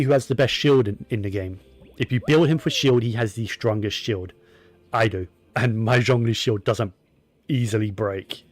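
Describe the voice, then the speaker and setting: A man's voice: medium pitch, no room sound. Man giving rambling, opinionated game commentary. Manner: opinionated, rambling, talks to himself. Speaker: gaming streamer. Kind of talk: casual gameplay commentary.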